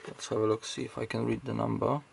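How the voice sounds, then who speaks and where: A man's voice: medium pitch, level tone, fast talker, gravelly, a little hiss or hum. A man giving casual, off-the-cuff technical commentary as he hosts an electronics teardown, hesitantly thinking aloud as he goes.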